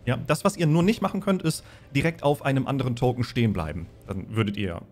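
A man's voice: medium pitch, fast talker, a little mellow. A man acting as game master, explaining rules and narrating a tabletop role-playing game in a conversational way.

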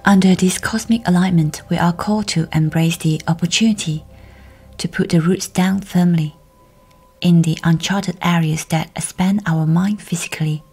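Female meditation guide, low-pitched, soft and whispery, gentle measured read over faint music. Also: breathy edge.

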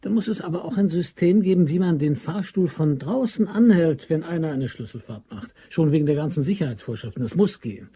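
Insistent, gravelly young schemer. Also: male voice, a little high, lively intonation, brisk, hardly any pauses, dark tone, full character voice. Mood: businesslike. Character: pushy, determined young man plotting a robbery. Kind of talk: German crime radio drama acting.

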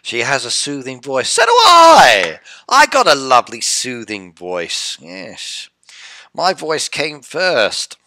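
A man's voice: medium pitch, huge pitch swings, takes it slowly, bright, forceful tone, no room sound. A middle-aged man chatting casually on a game live stream, playfully reading and answering chat. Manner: chatty, playful, reads chat aloud and teases viewers. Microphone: headset with desk mic.

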